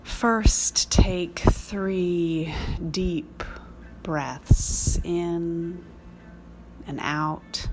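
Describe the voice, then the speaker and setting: A woman leading a guided prayer meditation in measured, reflective phrases with long pauses. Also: fairly low pitch, fairly even intonation, noisy background.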